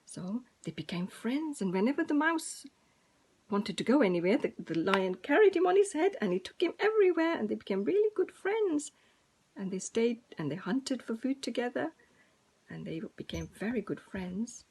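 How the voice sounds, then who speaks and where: A woman storyteller speaking to preschoolers. Her voice is fairly high with a husky, breathy edge. She retells the tale informally in simple, repetitive phrases, speaking straight to young listeners. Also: sing-song, normal speed, darkish tone.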